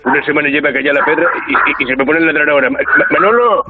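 A man's voice: on the high side, rapid-fire, crowd noise. A man playing a frazzled, foul-mouthed woman phoning in to a comic radio show, stammering and exasperated, over a phone line. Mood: flustered. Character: frazzled, foul-mouthed female phone-in caller scolding her dog. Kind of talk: comic radio sketch character.

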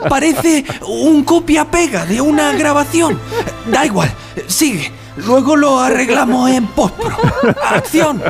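A man's voice: extremely high pitch, lively intonation, takes it slowly, music in the background, full character voice. A man playing an irate, bossy, perfectionist director of a radio drama in a comedy sketch. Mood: exasperated, furious. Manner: exclamatory, bossy.

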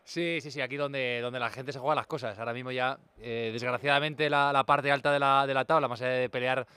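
A man's voice: mid-range voice, steady tone, a little fast, sharp tone, clear, resonant tone, dry recording. A man with an accent from Spain giving live football preview analysis as a radio reporter, often repeating words as he builds long thoughts. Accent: Spanish (Spain). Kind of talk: live match preview commentary.

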